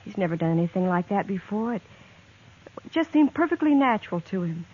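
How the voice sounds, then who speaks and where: A woman's voice: mid-range voice, big pitch swings, a little fast. An actress playing a young woman in an old radio western, hesitant and earnest, often stammering. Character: young woman, torn and pleading, in love with an outlaw. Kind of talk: 1950s radio drama acting. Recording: tinny vintage radio transcription.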